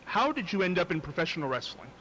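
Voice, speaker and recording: A man hosting a talk show, asking an interview guest questions slowly and deliberately. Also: high voice, very animated, bright, forceful tone, a little hiss or hum, poor audio. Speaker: host and interviewer.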